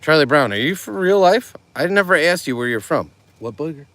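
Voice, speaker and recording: A man's voice: a little high, lively intonation, a little fast, fairly bright tone. A middle-aged man hosting a casual livestream from a car, reading out viewer comments in a chatty, upbeat way and laughing easily. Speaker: livestream host.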